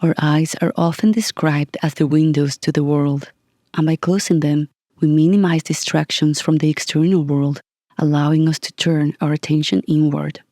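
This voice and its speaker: A meditation guide reading a scripted session in a calm, gentle, soothing manner with long pauses. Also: mid-range voice, dry recording, clean studio sound.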